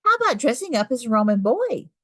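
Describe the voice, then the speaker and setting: Woman playing an eager, high-pitched young schoolgirl. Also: sing-song, fast, no echo, clean studio sound. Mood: curious. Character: eager, curious young schoolgirl who loves princesses.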